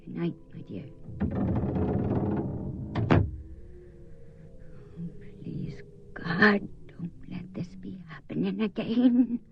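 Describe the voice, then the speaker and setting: An actress playing a devout, weary female companion who consoles others while quietly fearful, in a radio drama. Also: medium pitch, drawn-out words, vocal fry, long pauses, lo-fi sound, loud music behind the voice. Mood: gentle concern, then whispered dread.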